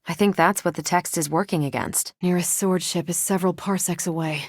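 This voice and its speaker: Tough superhero lady for a game, kinda low voice, wry n confident, super dry recording. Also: a little fast, no echo. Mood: calm, matter-of-fact. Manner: casual, wry banter with firm self-assurance. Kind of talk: scripted video game voice acting.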